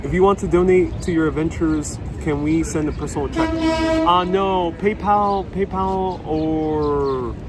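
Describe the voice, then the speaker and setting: Relaxed man live-streaming from a city street. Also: on the high side, unhurried. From Puerto Rican family. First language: Spanish. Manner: relaxed, reads out viewer comments and answers. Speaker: live-stream host answering chat. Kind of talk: casual live-stream chat. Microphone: wireless clip-on mic. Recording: city street noise behind.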